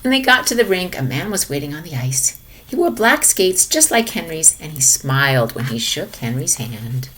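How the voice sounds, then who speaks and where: A woman reading a children's picture book aloud in an expressive manner, sometimes dropping to hushed tones. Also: low-pitched, moderate pace, breathy edge, rough recording. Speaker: storybook reader for a children's lesson.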